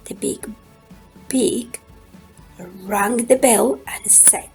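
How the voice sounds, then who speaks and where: Slow, high-voiced woman reading a storybook. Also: a little mellow, husky, low-quality recording. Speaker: storyteller reading a picture book aloud. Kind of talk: expressive children's storytime narration.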